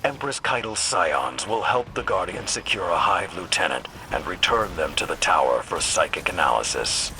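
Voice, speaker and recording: A resolute military commander in a video game. The voice is extremely deep yet forceful and bright. He speaks gravely and deliberately, with a faint layer of noise behind him. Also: expressive, full character voice, rough recording. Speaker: military commander giving mission briefings. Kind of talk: scripted video game dialogue.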